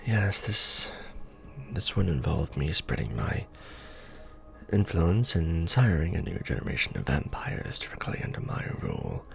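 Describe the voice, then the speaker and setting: A man playing a courtly, doting vampire lord in an ASMR romance roleplay, speaking formally and deliberately with tender pauses. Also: deep voice, creaky, a bit bright, exaggerated voice. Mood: earnest, tender.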